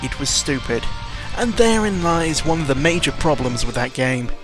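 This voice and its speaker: A man's voice: fairly high pitch, lively intonation, normal speed. A young man ranting casually about video games, exasperated and full of fillers. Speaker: gaming vlogger. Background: video game music.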